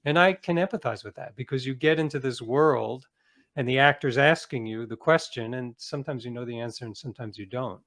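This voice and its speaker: A man's voice: mid-range voice, a little fast, a bit bright. A middle-aged man speaking as a guest author in a conversational interview, reflective and given to acting out bits of imagined dialogue.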